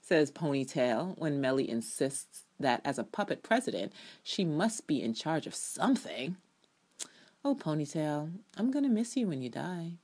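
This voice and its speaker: A woman with a deep voice performs her own written review as a dramatic reading. Her delivery is theatrical and emphatic, swinging widely in pitch. She sounds opinionated and adds asides as she goes. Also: very animated, normal speed, darkish tone.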